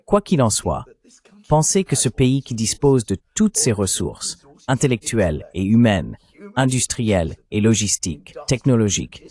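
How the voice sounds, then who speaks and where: A man's voice: on the low side, darkish tone. A man's AI-generated voice reading a dubbed commentary in an emphatic, argumentative way.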